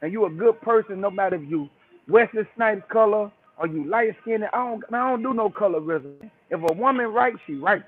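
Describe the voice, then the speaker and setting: A man's voice: high-pitched, a little fast, a little hiss or hum. A man calling in by phone, talking in a casual, preachy, emphatic way and peppering his speech with "man" and "bro". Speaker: call-in guest. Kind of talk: casual call-in chat. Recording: tinny phone line.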